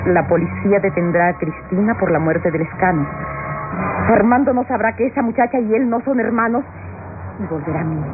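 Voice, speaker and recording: An actress playing a ruthless, half-mad young murderess in a radionovela, menacing and mocking, in melodramatic style. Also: female voice, mid-range voice, natural voice. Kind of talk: classic Latin American radionovela acting. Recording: tinny old radio sound, loud music behind.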